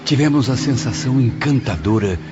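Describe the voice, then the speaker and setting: A man's voice: medium pitch, lively intonation, fairly quick, natural voice. A man playing a remorseful spirit writer who recounts things in a solemn, literary, declamatory way, as in an audio novel. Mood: awed, reverent. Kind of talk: audio novel narration in character. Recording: dull muffled audio under music.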